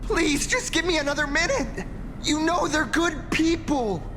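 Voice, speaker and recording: A man's voice: very high voice, expressive, measured pace, noisy background. A man playing an anguished, pleading, fiercely loyal young hero in a video game. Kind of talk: dramatic video game voice acting. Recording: long reverb under music.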